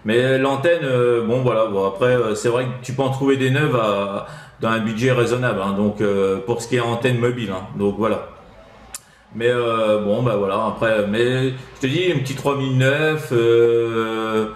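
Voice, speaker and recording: A man's voice: medium pitch, steady tone, moderate pace. Rambling Frenchman in a roomy echo. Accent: French, from France. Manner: hesitant, rambling, with constant tag words. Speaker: amateur CB radio operator giving advice. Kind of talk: casual radio chat.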